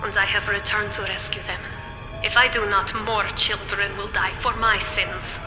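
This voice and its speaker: An actress playing a grave, remorseful older woman scientist with a German accent, speaking solemnly and deliberately in a video game. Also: mid-range voice, level tone, close to an everyday voice. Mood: grave, urgent. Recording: echoey hall reverb, music behind.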